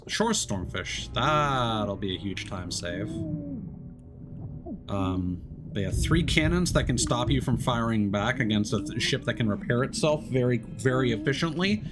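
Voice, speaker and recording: A man casually talking through his game as he plays, rambling and correcting himself mid-sentence. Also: medium pitch. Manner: rambling, self-interrupting, mild swearing. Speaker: streamer. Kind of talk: casual gameplay commentary. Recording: game music behind.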